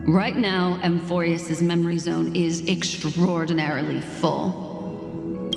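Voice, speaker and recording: A woman voicing a composed, self-assured mystic in a video game, with poised, deliberate delivery. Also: on the low side, lightly acted. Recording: long echoing reverb, music behind.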